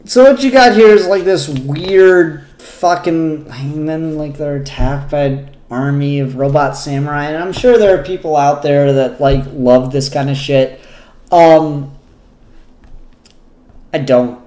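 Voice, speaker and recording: Echoey, slightly high-voiced man reviewing comics. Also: a little mellow. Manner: halting, pausing mid-sentence, swears casually. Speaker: comic book reviewer and show host. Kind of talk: casual unscripted spoken review. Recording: roomy, echoey room sound.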